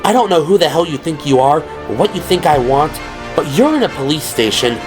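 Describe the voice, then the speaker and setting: A man plays a young female cop in an audio drama. She is guarded and blunt, quick to challenge, pitched a little up, with music playing clearly behind. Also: big pitch swings. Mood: defiant. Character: tough, guarded, foul-mouthed young policewoman. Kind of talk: audio drama character dialogue.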